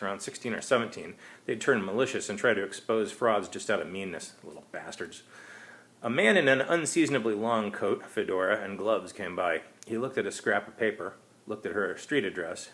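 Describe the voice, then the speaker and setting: A man's voice: lowish voice, a bit bright. A man reading his own short story aloud as narrator, in a wry, conversational manner.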